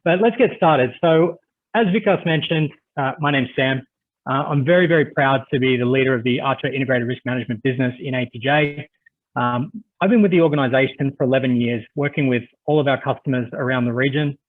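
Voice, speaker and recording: A man's voice: medium pitch, a little fast. A man with an Australian accent giving a friendly, chatty webinar presentation over a video call, with frequent "uh" fillers. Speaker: conference presenter. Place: home office on a video call. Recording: tinny phone-like video call audio.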